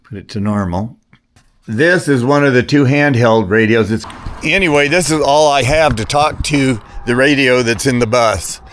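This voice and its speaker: An older man talking casually and unscripted as a hobbyist showing his gear, rambling and halting, often trailing off mid-thought. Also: mid-range voice. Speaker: CB radio hobbyist. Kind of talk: casual unscripted product talk.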